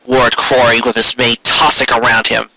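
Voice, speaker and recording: A man's voice: medium pitch, flat delivery, moderate pace, gravelly, very noisy. A man narrating a fan fiction story in a dramatic amateur reading style.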